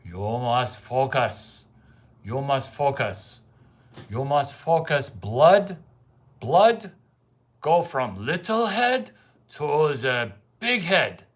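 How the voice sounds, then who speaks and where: A man voicing a solemn old male sage who intones stern, slow commands. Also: medium pitch, big pitch swings, exaggerated voice.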